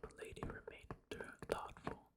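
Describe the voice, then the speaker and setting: Soft unvoiced ASMR whisper. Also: a little slow. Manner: gentle, affectionate sign-off. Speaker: ASMR reader. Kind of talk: whispered ASMR book reading.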